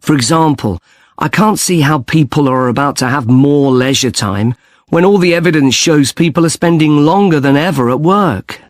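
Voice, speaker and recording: A man's voice: fairly high pitch, moderate pace, dry recording, studio quality, close to an everyday voice. A man playing a thoughtful, sceptical university student in a scripted, conversational exam dialogue.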